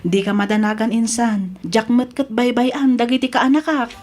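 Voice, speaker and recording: Naparabur nga ikit nga akto ti babai, mangpatalged. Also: female voice, on the high side, steady tone, normal speed, darkish tone, soft background music, close to an everyday voice. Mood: reassuring. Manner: encouraging, reassuring. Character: generous, reassuring middle-aged aunt from the city. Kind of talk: Ilocano radio drama acting.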